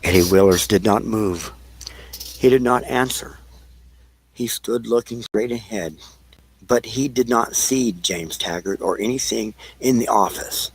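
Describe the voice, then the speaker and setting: A man narrating an audio play in an amateur, somewhat halting reading, with a gravelly, husky voice. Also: fairly low pitch, poor audio.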